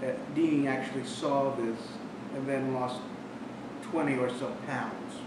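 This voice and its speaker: Guy rambling through an episode review, slow, far off the mic in a super echoey room with rumbly noise. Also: fairly even intonation. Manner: rambling, retelling a plot with restarts.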